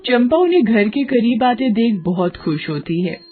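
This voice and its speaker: A woman narrating a cartoon story in a measured storytelling manner. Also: fairly high pitch, big pitch swings, a little hiss or hum. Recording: dull lo-fi audio, faint music.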